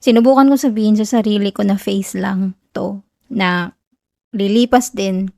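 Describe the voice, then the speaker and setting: A woman playing a shy, whiny tsundere best friend in an ASMR romance roleplay, with a Filipino accent and a stammering, halting delivery. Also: medium pitch, creaky, darkish tone, no echo, clean studio sound, close to an everyday voice. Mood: shy, vulnerable.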